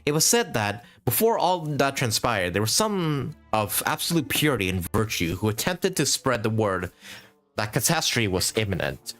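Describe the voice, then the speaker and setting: A man's voice: mid-range voice, sing-song, normal speed, a bit bright. A proud, formal spirit heroine of a fantasy video game, read aloud by a man. The delivery is lofty storytelling with plenty of rise and fall in a mid-range voice. Faint music sits behind it. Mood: lofty, solemn.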